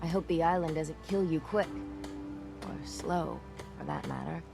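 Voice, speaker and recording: A woman's voice: low voice, unhurried, creaky, over music. A woman playing a proud, grumbling shipwright and harbor handywoman in a video game, blunt, salty and sardonic. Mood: wry. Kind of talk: video game dialogue acting.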